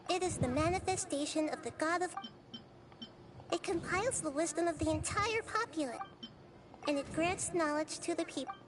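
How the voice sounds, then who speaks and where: A woman playing a gentle, wise, childlike girl deity in an anime video game, small-voiced, measured and articulate. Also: very high-pitched. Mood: calm, explaining. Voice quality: small, girlish. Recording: game stream with background noise.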